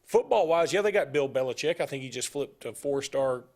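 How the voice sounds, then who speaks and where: A middle-aged man talking sports on a casual show. He rambles and gives strong opinions, with plenty of jokes along the way. His pitch sits in the middle range for a man. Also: animated, a little fast, a little mellow. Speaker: sports talk show co-host.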